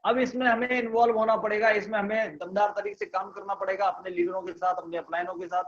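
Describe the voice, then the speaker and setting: A man's voice: sits high, fairly even intonation, fast, dull audio. A man running a motivational business training on a video call, repeating his points with emphasis and putting questions to the audience.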